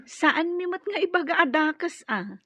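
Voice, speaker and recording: A woman's voice: very high voice, animated, unhurried, bright, forceful tone, no echo, exaggerated voice. A woman playing a gossipy, amused office coworker in an Ilocano radio drama, with an Ilocano accent.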